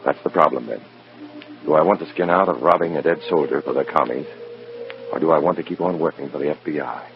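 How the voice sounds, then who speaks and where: An actor playing a weary, conscience-torn American undercover agent, narrating in a brooding, clipped hard-boiled style of old radio drama. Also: male voice, rumbling low, fast. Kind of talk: mid-century radio drama acting, first-person narration. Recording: muffled old radio recording with music.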